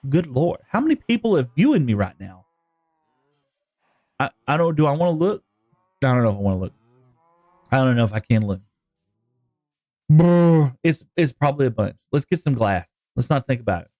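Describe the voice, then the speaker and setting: A man's voice: medium pitch, sing-song, normal speed, long pauses, darkish tone, dry recording. Rambling Texan man streaming. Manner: rambling, jokey, talks to chat. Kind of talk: live-stream gaming chat.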